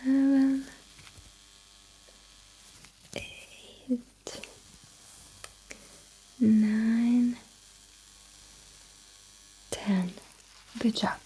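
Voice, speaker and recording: A woman whispering breathily in an ASMR roleplay as a sci-fi technician, giving soft, reassuring instructions close to the microphone. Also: on the high side, fairly even intonation, extremely slow, pauses often, husky, poor audio.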